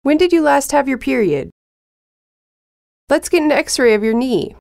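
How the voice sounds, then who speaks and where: A woman with a slightly high, lively pitch reads practice phrases at a deliberately slow pace. Her delivery is careful and even, like a language lesson track, and the sound is dry and close. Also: gravelly, no room sound. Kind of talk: slow, clear phrase reading for learners.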